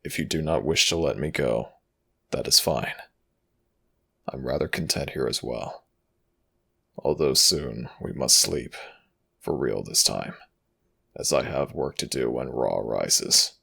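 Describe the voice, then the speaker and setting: A man voicing an ancient Egyptian death god in an ASMR roleplay, tender and protective but quietly vengeful, murmuring slowly and formally with a gravelly, breathy rasp. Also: very deep voice, heavily acted. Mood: tender, content. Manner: slow, hushed, formal archaic phrasing. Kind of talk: ASMR audio roleplay, intimate murmured acting.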